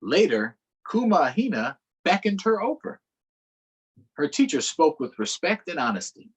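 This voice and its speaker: A man reading a picture book aloud to children in an unhurried way, pausing for short explanatory asides. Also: a little high, sing-song, husky, rough recording. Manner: unhurried, adds explanatory asides to young listeners. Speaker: storytime reader.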